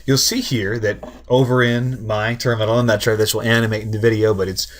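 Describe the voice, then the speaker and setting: A man's voice: lowish voice, little pitch movement, brisk. Casual, joking young male coding tutor. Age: 20s. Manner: casual, joking, self-deprecating explainer. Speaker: programming tutorial host. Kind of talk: screen-recorded coding lesson.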